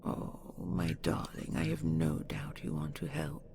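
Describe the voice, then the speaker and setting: A woman playing a possessive, seductive dragon queen in an intimate audio roleplay, slow, doting and imperious, with a breathy, gravelly whisper. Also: sits low, sing-song, mellow, slight background noise, full character voice. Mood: protective, indulgent.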